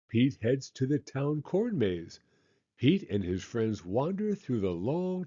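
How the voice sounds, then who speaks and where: A man reading a children's picture book aloud with expressive, measured storytelling. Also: mid-range voice, husky, highs cut off.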